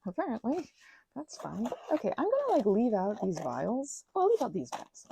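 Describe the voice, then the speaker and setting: A woman chatting casually as she works on crafts at her table, slipping between soft whispers and louder bursts, teasing herself as she goes. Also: on the high side, huge pitch swings, moderate pace, gravelly, slightly rough. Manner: chatty, self-deprecating, drifting between whispers and bursts of talk. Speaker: crafting ASMR creator. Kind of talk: rambling craft chat while working.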